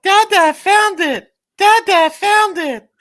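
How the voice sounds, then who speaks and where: A woman playing an excitable, childlike cartoon character in a live table read. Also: very high-pitched, takes it slowly, exaggerated voice. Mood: gleeful.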